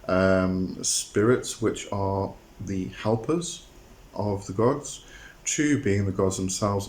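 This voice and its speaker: A man talking to his viewers in a hesitant monologue, often pausing on "um" and repeating words as he explains a term. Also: fairly low pitch, a little mellow. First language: not a Greek speaker. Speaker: occult channel host.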